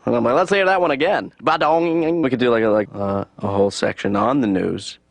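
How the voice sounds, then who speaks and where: An actor playing an aimless young adult son in a cartoon, talking in a rambling, hesitant deadpan mumble. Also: male voice, brisk, no echo, natural voice. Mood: boastful. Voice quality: low gravelly deadpan mumble. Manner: rambling, hesitant, many fillers. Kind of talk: animated sitcom dialogue.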